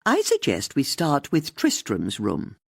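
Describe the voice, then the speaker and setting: A narrator voicing a brisk, confident, determined older woman in an audiobook mystery. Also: mid-range voice, huge pitch swings, vocal fry, slightly rough, no room sound, studio quality, heavily acted. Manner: brisk, decisive, inquisitive.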